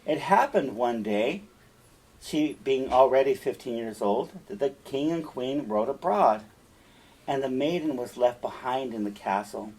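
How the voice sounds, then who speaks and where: A man speaks slowly in a husky, mid-pitched voice. He has the manner of a teacher sharing a story, deliberate and emphatic, addressing his listeners directly as he reads and explains. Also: animated, darkish tone, low-quality recording. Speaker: teacher reading and explaining a fairytale. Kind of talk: storytelling read-aloud with explanatory asides.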